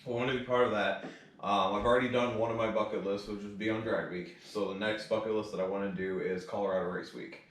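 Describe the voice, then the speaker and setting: A man's voice: lowish voice, fairly even intonation, quick pace, fairly bright tone. A man chatting casually and informally as a podcast co-host, with chuckles and plenty of filler phrases. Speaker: podcast co-host leading the topic. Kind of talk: casual chat about cars and racing. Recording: echoey room, distant mic.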